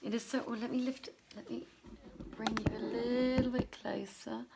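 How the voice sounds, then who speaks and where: A woman's voice: on the high side, steady tone, moderate pace, creaky, very noisy. A woman hosting a live storytelling stream from home, chatty and affectionate, with theatrical touches in her greetings. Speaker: host and storyteller, theatre director. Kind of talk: informal welcome before a live bedtime-style reading. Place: at home, live to camera on a tripod.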